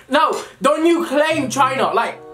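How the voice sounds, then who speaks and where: A woman's voice: high-pitched, fairly bright tone. A young woman from Somalia hosting her vlog, eating while she teases a stranger on a video call in a playful, mock-indignant way. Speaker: vlogger host. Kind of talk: casual video chat with a stranger. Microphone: webcam video call. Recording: echoey room with background music.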